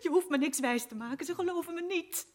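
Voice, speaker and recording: An actress playing a young woman accused of murder in a radio play, halting and pleading. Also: very high-pitched. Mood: distraught. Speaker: accused prisoner.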